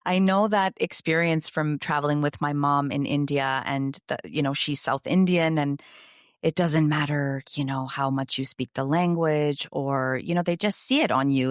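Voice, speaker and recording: Woman podcast host, low voice, encouraging, long questions, dry close recording. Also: steady tone, moderate pace, no echo. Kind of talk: conversational interview.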